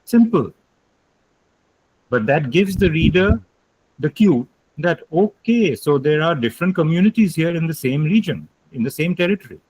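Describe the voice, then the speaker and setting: A man's voice: fairly high pitch, expressive, moderate pace, a little mellow, breathy edge, no echo, low-quality recording. Guy lecturing over a video call, dry close sound, leans on key words and says them twice. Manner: emphatic, explanatory, repeats key points. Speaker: guest lecturer. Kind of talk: online lecture with questions.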